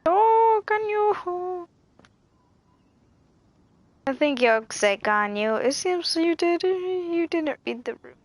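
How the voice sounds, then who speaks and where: Woman gamer, high voice, slow drawled playful talk, teasing, game music behind. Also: big pitch swings, no echo. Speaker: gaming streamer. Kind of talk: casual reacting over gameplay.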